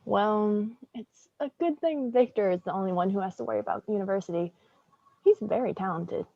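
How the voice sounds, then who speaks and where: A woman playing a reserved young woman, hesitant and gently teasing, in staged dramatic dialogue. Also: mid-range voice, lively intonation, fairly quick, dry recording, muffled recording.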